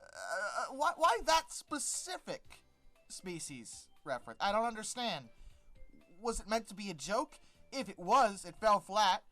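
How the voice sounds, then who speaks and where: A woman gives casual, unscripted commentary as a video host, her pitch swinging widely as she talks. She is emphatic and sarcastic, rambling and repeating words to drive a point home. Soft music plays faintly behind her. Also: big pitch swings, measured pace.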